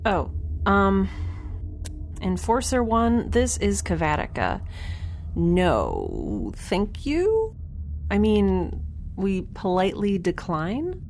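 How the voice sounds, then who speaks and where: A woman's voice: medium pitch, huge pitch swings, takes it slowly, pauses often, a little mellow, full character voice. A woman playing a wry, flustered young woman alone on a spaceship, in a casual, hesitant, sarcastic audio drama style. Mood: nervous, polite. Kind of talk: naturalistic sci-fi audio drama acting. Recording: faint ship ambience noise.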